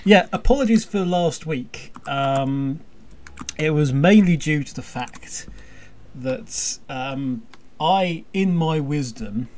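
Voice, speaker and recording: A man's voice: on the high side, expressive, takes it slowly, a little mellow. A man hosting a podcast in casual chat, with a wry, self-deprecating, grumbling manner. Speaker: podcast host.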